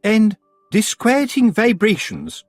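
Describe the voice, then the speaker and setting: Man doing a prim witch lady, high and sugary, dry close sound; sweet-talking, overly polite. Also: huge pitch swings, slow, dry recording, soft background music, exaggerated voice. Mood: honeyed. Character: prim, sugary middle-aged witch, woman.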